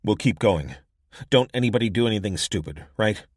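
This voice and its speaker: A man's voice: lowish voice, expressive, brisk, a little mellow, slightly rough, no room sound, studio quality. A man doing a terse, weary cop. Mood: firm. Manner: terse, firm. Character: weary middle-aged male police detective.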